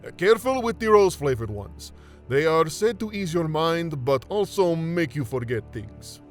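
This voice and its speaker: A man acting in an audio drama as an earnest, devout scholar, speaking deliberately and with dramatic emphasis. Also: a little high, animated, faint music behind, close to an everyday voice. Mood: genial. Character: earnest Middle Eastern man, devout scholarly friend and host.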